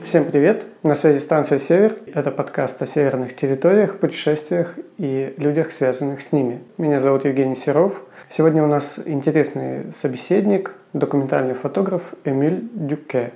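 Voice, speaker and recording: A man's voice: fairly high pitch, moderate pace, vocal fry, few pauses, mellow, faint music behind. A man hosting a podcast and interviewing his guest in a conversational way.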